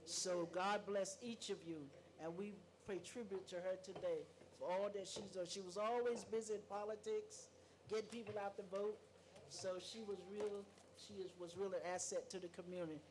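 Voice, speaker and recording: A woman on a city council reads a memorial resolution aloud in a slow, measured way, then adds informal remarks of her own. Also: fairly low pitch, steady tone, background noise.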